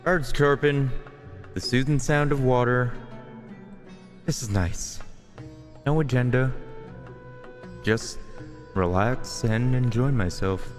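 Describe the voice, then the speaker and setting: A man's voice: medium pitch, slow, pauses often, soft background music. A man streaming a game, giving casual, slangy running commentary and reacting aloud with some swearing.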